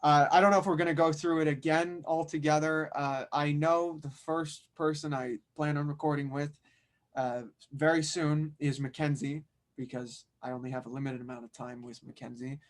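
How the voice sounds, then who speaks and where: A man's voice: fairly high pitch, steady tone, moderate pace. A man who narrates and directs an amateur audio drama table read.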